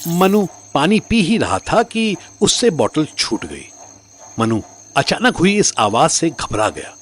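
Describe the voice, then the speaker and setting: A man's voice: fairly high pitch, wildly swooping pitch, takes it slowly, background noise. A man narrating a scripted story in a dramatic storytelling style.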